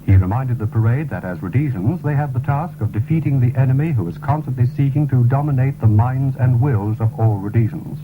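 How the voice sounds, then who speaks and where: A male newsreader delivers a formal radio bulletin in a measured way, at an ordinary male pitch. The sound is thin and narrow, like an old 1970s broadcast heard through a small radio. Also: background noise. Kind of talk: formal scripted news bulletin.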